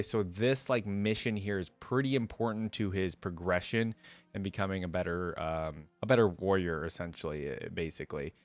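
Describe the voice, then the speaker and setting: A man's voice: fairly low pitch, no room sound. A man hosting a reaction video, reading aloud and commenting casually in an informal, conversational way. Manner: informal, conversational asides between read-aloud passages.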